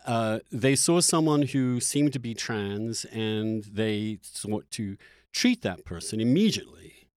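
A man hosting a conversational interview, speaking earnestly and haltingly as he circles back to rephrase his questions. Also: on the low side, expressive, darkish tone, no echo, clean studio sound. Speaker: podcast host and interviewer.

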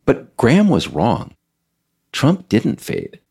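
A man's voice: medium pitch, wildly swooping pitch, slow pace, vocal fry, darkish tone, breathy edge. A man reading scripted narration as the author of an audiobook.

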